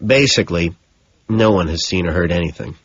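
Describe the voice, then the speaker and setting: A man's voice: low voice, animated, fairly quick, a bit bright, breathy edge, no echo, highs cut off, exaggerated voice. A man voicing an earnest, slightly sheepish detective in an audiobook. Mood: dejected. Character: earnest, rumpled, sheepish male detective questioning a suspect. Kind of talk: audiobook character dialogue.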